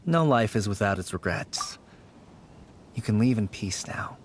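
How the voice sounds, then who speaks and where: A man's voice: lowish voice, expressive, unhurried, slight background noise. An actor playing a weary, grieving young man who speaks earnestly and wistfully. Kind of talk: game cutscene voice acting.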